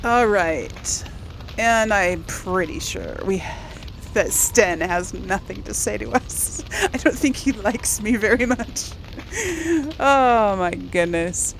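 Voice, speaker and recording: A woman's voice: mid-range voice, slow, rough recording. Chatty woman gamer, faint background noise. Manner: chatty, tentative, thinking aloud. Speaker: gaming video host and player. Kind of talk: casual let's play commentary.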